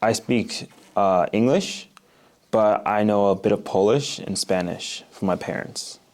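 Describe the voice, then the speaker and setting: A young man from the Midwest in his late teens, speaking in a fairly low voice. He chats loosely and drops in plenty of filler words. The accent is relaxed and Midwestern American. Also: unhurried. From Oak Park, Illinois, USA. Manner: casual, uses many "like" fillers. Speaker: interviewee. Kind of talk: read-aloud passage and casual interview.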